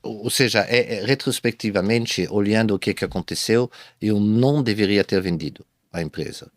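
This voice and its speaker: A man speaking Portuguese as a second language, a guest telling his business story in a halting way, with many repeated false starts. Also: fairly low pitch, no room sound, clean studio sound. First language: not Portuguese. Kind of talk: casual interview storytelling.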